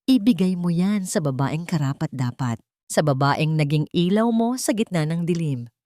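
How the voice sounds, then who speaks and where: Woman reading as gentle elderly Filipino mother, low-pitched. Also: animated, normal speed, no echo, clean studio sound. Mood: tender, earnest. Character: loving, gentle elderly mother giving her blessing.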